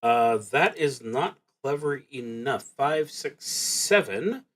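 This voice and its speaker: A man with a mid-pitched voice streams a solo roleplaying game. He speaks slowly, thinking through his choices aloud. His delivery is halting and musing, often trailing off before he picks the thought back up. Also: animated, fairly bright tone. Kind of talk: unhurried thinking aloud while playing a solo tabletop game.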